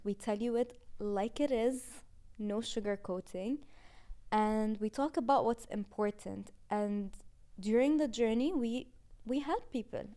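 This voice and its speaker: A woman in her twenties, a podcast host, talking casually and warmly about finishing a season, with frequent "like"s. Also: mid-range voice, smooth. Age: 20s. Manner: casual, chatty with many "like"s and laughs. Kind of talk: heartfelt season-finale reflection.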